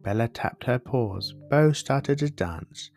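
A male storyteller reads a scripted bedtime tale for children at a middling pitch. Faint plucked guitar music plays behind his narration. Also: animated, slow pace, a little mellow.

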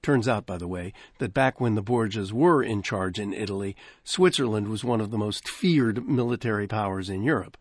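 A man introducing a vintage radio program from a studio, speaking in a measured, articulate way. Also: lowish voice, no room sound. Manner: measured, articulate, lively delivery. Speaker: radio host. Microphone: close studio microphone. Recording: dry close studio sound.

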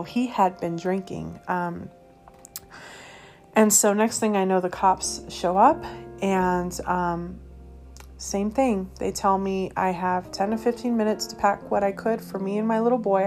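A woman from Colorado sharing her personal testimony as a ministry host, speaking in an earnest, halting way with many pauses and fillers. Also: medium pitch, steady tone, darkish tone, soft background music. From Colorado, USA.

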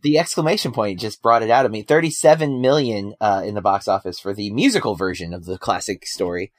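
A man co-hosting a casual film podcast, relaxed and conversational, with frequent ums and you-knows. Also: lowish voice, a little fast, no room sound, clean studio sound. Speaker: podcast co-host.

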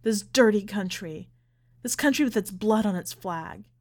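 A woman's voice: medium pitch, a little slow. A woman voicing an anguished, furious immigrant mother in an audiobook, pleading and insistent through her grief. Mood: bitter, desperate. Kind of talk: dramatic audiobook character reading.

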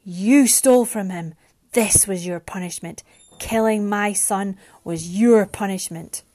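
A woman voicing an enraged, grieving father in a storybook, growling and booming his accusations. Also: measured pace, lightly acted. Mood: furious, accusing. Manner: forceful, accusing.

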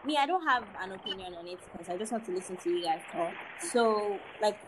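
A woman's voice: mid-range voice, lively intonation, brisk, crowd noise, poor audio. An eighteen-year-old woman, hosting a casual group podcast and steering it with teasing humor. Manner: playful, teasing, steers the talk. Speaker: podcast host.

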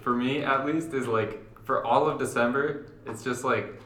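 A man's voice: mid-range voice, steady tone, normal speed, fairly bright tone, a little hiss or hum. A young man co-hosting a casual podcast, chatting informally with slangy phrasing. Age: young adult. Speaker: podcast co-host. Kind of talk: casual chat. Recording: echoey room.

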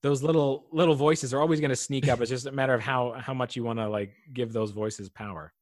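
A man in his early thirties chats casually and reflectively, leaning on fillers as he thinks aloud. His pitch sits in an ordinary middle range. The sound is close and dry. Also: fairly even intonation, fairly quick, dry recording. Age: 30s. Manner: reflective, faith-minded, many fillers. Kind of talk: casual chat.

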